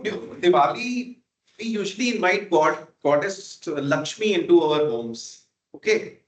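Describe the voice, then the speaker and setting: A man with a notably high voice runs a club meeting, calling on speakers with friendly, hesitant phrasing. The room sounds echoey. He fills his pauses with frequent "uh"s. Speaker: table topics master.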